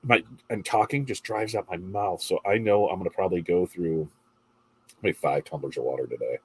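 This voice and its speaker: A man's voice: deep voice, fairly quick, husky. A middle-aged man with a congested voice hosts a casual morning livestream, chatting and reading viewer comments in a rambling way.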